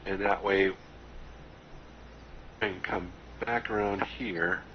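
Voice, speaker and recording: A man with a deep voice playing a tabletop game, plainly talking through his moves. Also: fairly even intonation, takes it slowly, slight background noise. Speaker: player. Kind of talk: casual tabletop play. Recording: dull lo-fi audio.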